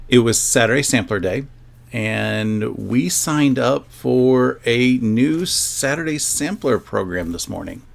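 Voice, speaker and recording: A man's voice: medium pitch, lively intonation, slow pace, creaky. A man hosting a sewing livestream, chatting casually to his viewers in a rambling, self-joking way.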